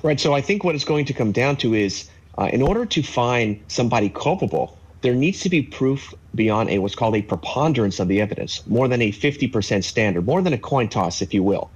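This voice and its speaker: Husky man analyzing on a video call. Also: mid-range voice, animated, lo-fi sound. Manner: measured, explanatory, with frequent fillers. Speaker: legal analyst. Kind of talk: live news interview commentary. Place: home office with bookshelves.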